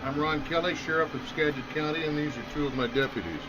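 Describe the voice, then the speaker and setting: An actor playing an authoritative county sheriff in a radio drama, brisk and official in delivery. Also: male voice, fairly high pitch, dull audio, background noise, close to an everyday voice. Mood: commanding. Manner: official, commanding.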